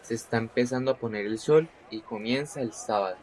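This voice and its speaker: An actor playing a devout young disciple in a radio drama, speaking fervently and sincerely. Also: male voice, medium pitch, brisk, a little mellow, close to an everyday voice, low-quality recording.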